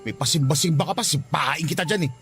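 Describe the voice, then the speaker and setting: A man's voice: a little high, fast talker, a bit bright. A man playing a jealous, controlling young boyfriend, curt and scornful, with a Filipino accent in a radio drama. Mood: angry, jealous. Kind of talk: Tagalog radio drama acting. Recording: music bed behind the voice.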